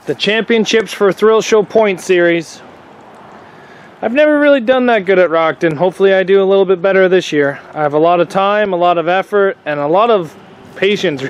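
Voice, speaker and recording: A young man with a stuffy, sniffly cold, chatting casually in a vlog in a laid-back, rambling way with lots of fillers. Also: high voice. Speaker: demolition derby builder and vlogger. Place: home workshop or garage.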